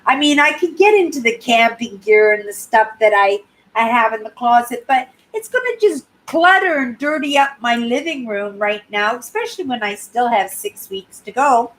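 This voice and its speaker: A woman in her sixties from Canada, chatting casually on her live stream in a clear, high-pitched voice and often ending sentences with "right?". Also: animated, moderate pace, fairly bright tone, poor audio. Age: 60s. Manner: rambling, tags sentences with "right?". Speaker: YouTube channel host.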